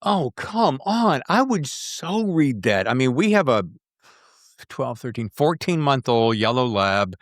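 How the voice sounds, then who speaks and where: Animated male podcast host, dry close sound. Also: mid-range voice, unhurried, no room sound. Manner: animated, jokey, digressive, excitable. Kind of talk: casual conversational interview.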